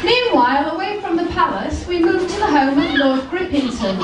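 Woman playing high-voiced pantomime storyteller in echoey hall. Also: lively intonation, moderate pace. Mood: announcing. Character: playful pantomime storyteller teasing the characters. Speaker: pantomime narrator. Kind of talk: audience-addressing pantomime storytelling. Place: large hall, live stage show. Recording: distant, very echoey hall with audience noise.

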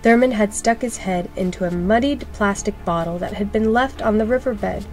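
A woman reading a children's storybook aloud in scripted narration, expressive and clearly phrased for young listeners. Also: medium pitch, moderate pace, soft background music.

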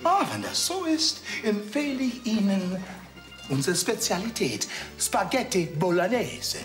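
A man's voice: high voice, measured pace. A man playing a cheeky, chatty waiter who sprinkles in Italian phrases in a TV comedy sketch. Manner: lively sing-song delivery, Italian phrases. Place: restaurant studio set. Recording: music and studio audience laughter.

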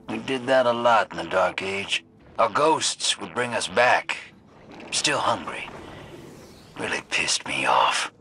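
An actor voicing a shady, streetwise rogue and survivor in a video game, with slangy, conspiratorial swagger. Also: male voice, lowish voice, pushed and bright, a little hiss or hum, full character voice. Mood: grim, reminiscing. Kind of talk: video game character dialogue.

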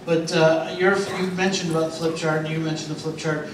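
A man's voice: a little high, flat delivery, fairly quick. A middle-aged man leading a convention panel, talking in a confident, persuasive coaching tone into a handheld mic. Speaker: panel host at a sales convention. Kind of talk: panel discussion. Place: large convention hall stage. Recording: echoey hall, recorded from far off, noisy.

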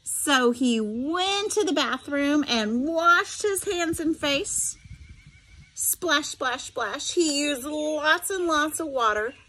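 Slow, high-voiced woman reading to students. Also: low-quality recording. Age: middle-aged. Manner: expressive, instructive, addresses listeners directly. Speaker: special education teacher reading aloud. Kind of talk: picture-book read-aloud with asides to students.

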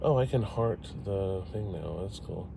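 A man's voice: deep voice, animated, unhurried, creaky. A man in his forties chatting casually and rambling to his live stream viewers while driving at night. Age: 40s. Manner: chatty, self-deprecating, talks to viewers. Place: inside a car driving city streets at night. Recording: road and engine noise behind.

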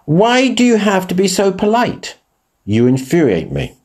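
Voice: medium pitch, huge pitch swings, unhurried, a little mellow. A performer voicing a grumpy, grumbling male grizzly bear in a radio pantomime. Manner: grumbling, sarcastic.

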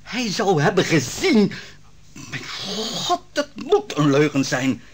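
A man's voice: sits high, wildly swooping pitch, slow pace, a little hiss or hum, full character voice. An actor playing a worried, brooding man reporting on the trial in an old radio drama.

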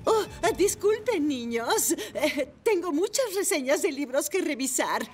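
A woman's voice: high voice, expressive, a little slow, faint music behind. A woman voicing a polite, kindly, scatty lady librarian motorbike in a children's cartoon, genteel and fluttery. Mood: kindly, flustered. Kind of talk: animated children's cartoon dub.

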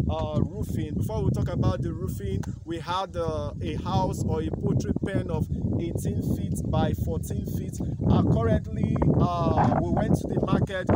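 A young Nigerian man with a Nigerian accent hosts a farm channel outdoors at a building site, talking animatedly and gesturing with both hands. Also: high-pitched. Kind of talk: outdoor vlog explanation. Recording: loud wind noise outdoors.

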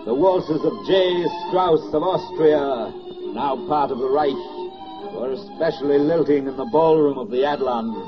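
A man's voice: on the high side, close to an everyday voice. Actor as a declamatory 1940s radio drama narrator, male. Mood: ironic grandeur. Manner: declamatory, ironic, measured. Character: grave, bitterly ironic wartime narrator. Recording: dull vintage 1940s radio recording, music behind. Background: music.

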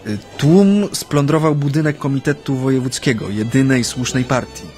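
An actor playing an earnest Japanese man recalling his activist past in a radio play, with a reflective, halting delivery. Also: mid-range voice, a little mellow, soft background music, natural voice. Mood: earnest recounting. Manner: hesitant, reflective, with frequent hums and pauses. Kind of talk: radio drama, conversational recollection.

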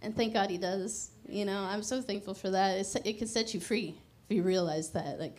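Chatty woman preaching on stage. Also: mid-range voice. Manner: conversational, emphatic, drops to a hushed prayer. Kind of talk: sermon. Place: church stage. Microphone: handheld mic.

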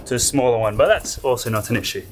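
A man's voice: medium pitch, fast, background noise. A young man casually explaining boat restoration work step by step as he works in a boatyard and a wooden wheelhouse. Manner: relaxed, explains step by step while working. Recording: guitar music behind.

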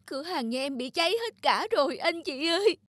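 A woman's voice: high voice, expressive, fairly quick, over music. A woman playing a distraught, crying sister-in-law in a cartoon. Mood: distraught, sobbing. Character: distraught sister-in-law begging family for help after ruin.

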